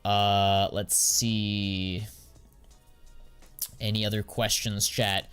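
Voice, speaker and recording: A man's voice: fairly low pitch, flat delivery, drawn-out words, a bit bright, clean tone, soft background music. Laid-back slangy American guy streaming. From United States. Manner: slangy and laid-back, drawls filler words. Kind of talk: casual live-stream chat, answering viewers.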